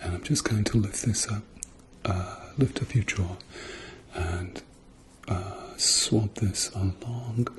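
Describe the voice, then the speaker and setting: A middle-aged man doing a softly spoken ASMR role-play as a doctor, murmuring reassurance to a patient. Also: on the low side, slow, background noise. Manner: reassuring, murmured repeated encouragement.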